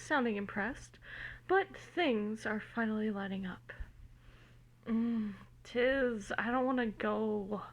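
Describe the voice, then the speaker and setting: Amateur female story reader, unhurried, slightly high voice, informal and stumbly, phone-recorded. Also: poor audio. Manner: giggly, informal, stumbles over words. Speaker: amateur reader of fan fiction. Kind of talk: dramatic reading of a story.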